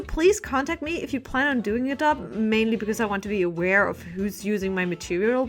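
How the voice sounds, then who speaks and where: Animated woman chatting over music. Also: on the low side. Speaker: webcomic artist answering viewer questions. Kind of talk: casual Q&A vlog chat.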